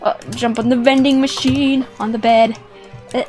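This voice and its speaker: A young woman giving excited, playful gameplay commentary, animated and exclamatory, often repeating words for effect. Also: on the high side, moderate pace. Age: young adult. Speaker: gaming video host. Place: small indoor room set up for streaming. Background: background music.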